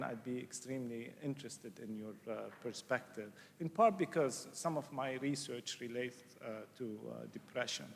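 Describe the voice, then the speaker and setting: A middle-aged man from Lebanon gives an unscripted lecture in a large conference hall, his mid-pitched voice trailing off into a long reverb. He hesitates often, filling gaps with "uh" and restarting sentences, and clears his throat now and then. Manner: hesitant, many uhs and restarts. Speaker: child psychiatrist giving a conference lecture.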